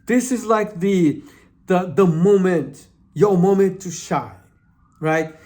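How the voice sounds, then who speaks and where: Slow, high-voiced man with a Brazilian accent. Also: mellow. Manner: hesitant, often repeats and restarts words; earnest advice-giving. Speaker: channel host. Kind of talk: informal talk to camera.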